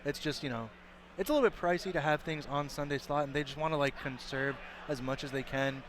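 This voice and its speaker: A man commentating an esports tournament in casual, upbeat chat, his voice slightly hoarse from cheering. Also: fairly high pitch, steady tone, noisy background. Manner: chatty and upbeat, quick rambling asides.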